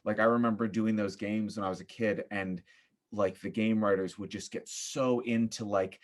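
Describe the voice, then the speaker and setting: A man's voice: fairly low pitch, steady tone, moderate pace. A man speaking as a podcast guest in casual, reflective conversation, thoughtful and often groping for his words.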